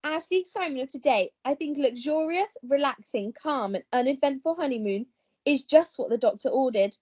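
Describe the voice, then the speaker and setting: A plucky young society heroine acted by a woman in a radio melodrama. She gushes in a high voice with jolly, old-fashioned phrasing, and the recording is slightly dull. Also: expressive, fairly quick, darkish tone. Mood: blissful. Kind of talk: melodramatic radio play acting.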